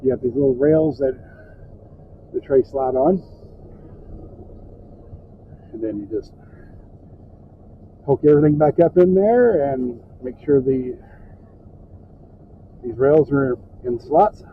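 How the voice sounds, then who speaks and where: A man's voice: mid-range voice, lively intonation, unhurried, long pauses. Tinny-sounding man giving a casual how-to. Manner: plain-spoken, step-by-step, folksy phrasing. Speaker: truck driver and trainer giving a tutorial. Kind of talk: informal hands-on how-to narration. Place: inside a truck cab. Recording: tinny phone-like audio with background noise.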